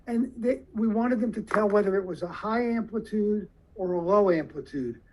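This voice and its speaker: A man giving a lecture to surgeons, explaining slowly and deliberately with questions to the audience. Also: sits high, rough recording.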